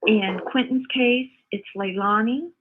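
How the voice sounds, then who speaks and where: An older woman calling in by phone to give her opinion, halting and earnest, often repeating herself. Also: on the high side, a little hiss or hum. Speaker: caller, mother of the host. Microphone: phone call. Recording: muffled, narrow phone call audio.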